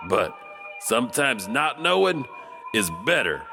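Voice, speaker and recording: A man narrating a horror podcast as a lively, chuckling storyteller with a gravelly voice. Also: mid-range voice, measured pace. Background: music.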